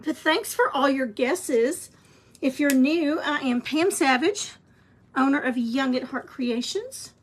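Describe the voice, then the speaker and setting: A woman's voice: high-pitched, takes it slowly. Woman, scratchy voice, encouraging craft teacher, folksy live stream. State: scratchy voice, clearing throat. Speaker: craft painting instructor. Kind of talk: live-streamed painting tutorial.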